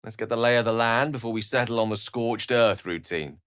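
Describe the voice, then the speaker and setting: A man's voice: medium pitch, fairly quick, fairly bright tone, clear, resonant tone, no room sound, studio quality, natural voice. An actor playing an erudite, witty and self-assured wizard in a video game, eloquent and theatrical in his wordy delivery. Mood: wry, teasing. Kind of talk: video game character acting.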